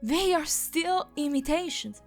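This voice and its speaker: Stern, high-voiced nature goddess played by a woman. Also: expressive, measured pace, soft background music. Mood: exasperated. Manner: formal, accusatory. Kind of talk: scripted audio fiction acting.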